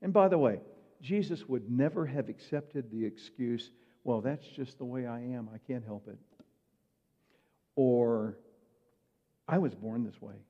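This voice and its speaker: A man's voice: medium pitch, a little slow, pauses often, warm tone. A man preaching a sermon in a conversational way, asking his listeners rhetorical questions. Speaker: pastor. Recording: muffled hall reverb.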